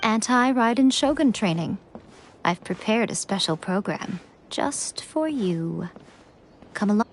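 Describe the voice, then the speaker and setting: An actress playing a confident, mysterious priestess mentor in a video game, speaking with composed, slightly playful assurance. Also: female voice, mid-range voice, expressive, measured pace, a little hiss or hum. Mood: pleased, approving. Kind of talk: scripted video game voice acting.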